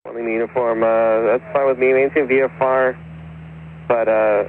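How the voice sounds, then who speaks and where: A man working as an air traffic controller, giving calm, measured instructions over the radio. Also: medium pitch. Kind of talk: radio phraseology. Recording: muffled radio transmission.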